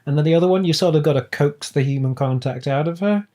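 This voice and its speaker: Mellow man chatting playfully. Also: a little high, fast talker. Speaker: podcast guest. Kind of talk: casual chat.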